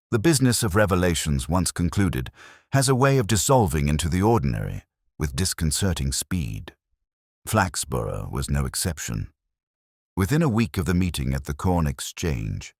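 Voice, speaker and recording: Very deep-voiced male narrator. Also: no echo, clean studio sound. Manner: wry, stately storytelling. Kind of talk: scripted audiobook narration.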